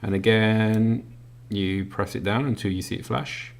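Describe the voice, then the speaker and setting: A man with a deep voice walks through a product unboxing in a loose, explanatory way. His voice has a rough, gravelly edge, and he fills his pauses with ums and uhs while he fiddles with the device. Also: fairly even intonation. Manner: demonstrating a gadget while talking. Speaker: product reviewer. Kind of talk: unboxing walkthrough.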